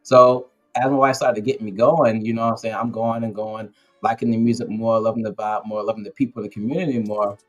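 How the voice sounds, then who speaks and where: Fast-talking man hosting a casual chat. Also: fairly low pitch, faint music behind. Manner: animated, slangy, acts out quotes. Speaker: interview host.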